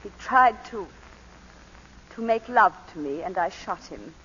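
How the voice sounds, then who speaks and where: Breathy scared planter's wife, pitch jumping all over, dull old radio sound. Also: female voice, animated, fairly bright tone. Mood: shaken. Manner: nervous, halting, then composed storytelling. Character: tense, frightened planter's wife, adult woman. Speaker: lead actress in radio drama. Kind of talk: 1940s radio acting.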